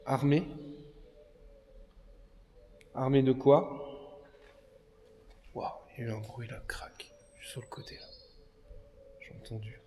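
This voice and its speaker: A man's voice: medium pitch, slow, pauses often. Hesitant man in an echoey derelict hall. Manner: hesitant, many fillers, drops to hushed murmurs. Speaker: paranormal investigator. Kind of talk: exploration vlog, calling out to spirits. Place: large abandoned industrial building, indoors. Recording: echoey hall with faint noise.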